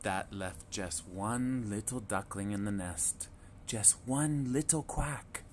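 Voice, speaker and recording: A man reading a picture book aloud to children in a slow, clear, expressive storytelling manner. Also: fairly low pitch, slight background noise.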